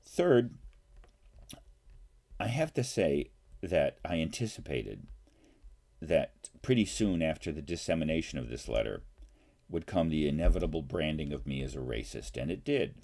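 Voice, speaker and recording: A man in his sixties, a professor giving an explanatory talk in formal, deliberate, measured phrasing. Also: rumbling low, very animated, gravelly, darkish tone. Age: 60s. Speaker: college professor. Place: small home study, indoors.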